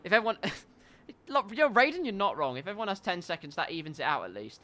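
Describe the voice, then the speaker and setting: Stuffy-nosed male race caller, high and forceful tone, dry asides, erupts on the crashes. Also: fairly quick. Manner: wry asides, gets loud and excited at crashes. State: congested, stuffy nose. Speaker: league race commentator. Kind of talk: sim racing commentary.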